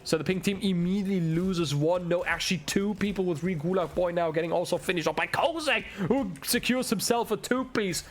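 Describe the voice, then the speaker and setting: A man's voice: high voice, fairly quick, hardly any pauses, slight background noise. A young man with a German accent, whose first language is German, doing solo play-by-play commentary on an esports match, building up with the action. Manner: excitable, ramps up with the action, chats with viewers. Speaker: esports caster.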